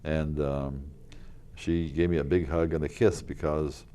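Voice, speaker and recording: Very deep-voiced older man telling stories. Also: steady tone, measured pace, clear, resonant tone. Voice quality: loud voice. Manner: rambling, chuckling, with many fillers and restarts. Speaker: guest, local historical reenactor. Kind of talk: casual interview storytelling. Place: community TV studio.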